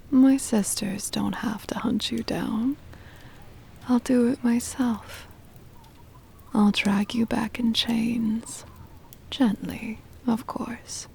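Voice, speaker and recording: A woman playing a possessive, doting centuries-old vampire mistress in an intimate, breathy whispered ASMR roleplay, coaxing and tender with a menacing edge. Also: fairly high pitch, a little mellow, exaggerated voice. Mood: soft menace. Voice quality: breathy whisper with creaky edges. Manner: slow, coaxing, possessive endearments.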